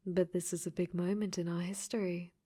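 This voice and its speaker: A woman voicing a clingy, possessive dating-sim AI in a robot body for an ASMR roleplay, sweetly menacing and breathy, often dropping to whispers. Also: fairly low pitch, level tone, brisk, dark tone. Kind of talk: ASMR audio roleplay acting.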